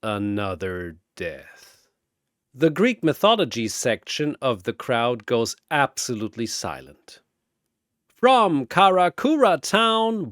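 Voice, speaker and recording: A man's voice: a little high, dry recording, clean studio sound. A man delivering dramatic scripted battle narration like an arena announcer, theatrical and grandiose.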